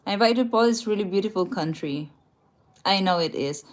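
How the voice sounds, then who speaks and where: A woman's voice: mid-range voice, expressive, moderate pace. A woman from the Philippines, chatting casually on a travel livestream, laughing often and restarting her sentences. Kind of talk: casual live chat, reading viewer comments aloud. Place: indoors, a rented room at night.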